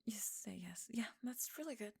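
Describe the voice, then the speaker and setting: A woman playing a young tomboy friend in an intimate ASMR roleplay, halting and flustered, teasing gruffly and often slipping into whispers. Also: a little high, lively intonation, exaggerated voice. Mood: tender, teasing.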